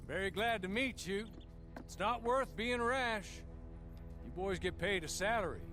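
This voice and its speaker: A man plays a smooth, mannered fixer in a video game, every word courteous and precise, with a dry politeness. His voice sits a little high. Music plays clearly behind him. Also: lightly acted. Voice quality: polished, formal diction, dry politeness. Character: suave, courteous middle-aged male fixer. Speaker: outlaw rescuer in a game cutscene.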